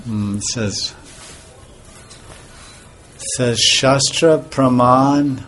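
A man giving an informal devotional talk as a spiritual teacher, soft-spoken and rambling, with pauses and restarts. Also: medium pitch, soft background music.

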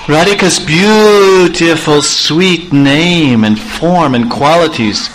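A man voicing a lovesick young male god in a raised, halting, pleading voice, as part of a devotional story. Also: a little high, fairly bright tone, slight background noise, voice pushed up, poor audio.

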